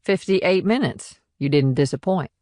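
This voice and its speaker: A wry, weary small-town policewoman played by a male audiobook narrator, pitched up from his usual voice. The delivery is folksy and matter-of-fact, in short clipped sentences. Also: lively intonation, unhurried, a little mellow, no echo, studio quality. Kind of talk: audiobook character dialogue.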